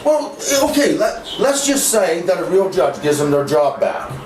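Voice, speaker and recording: A man's voice: sits high, big pitch swings, moderate pace, a little mellow, soft background music. A man in a casual opinionated debate, blunt and insistent, swearing and repeating himself to make his point. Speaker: interviewee. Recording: echoey, clearly noisy background.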